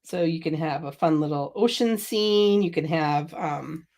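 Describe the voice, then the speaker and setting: Middle-aged woman, lowish voice, chatty craft host, slightly sniffly from a cold. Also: unhurried, a little mellow. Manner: chatty, laughs often, reads viewer comments. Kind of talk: casual product demo chat.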